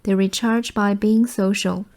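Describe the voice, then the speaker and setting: A woman reading short study texts aloud in a measured, formal manner. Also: medium pitch, fairly even intonation, darkish tone. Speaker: reader of practice passages.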